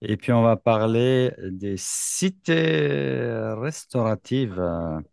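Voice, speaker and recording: A man hosting a casual talk show, relaxed and with fillers. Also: mid-range voice, drawn-out words, vocal fry. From Switzerland. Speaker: host. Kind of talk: casual chat.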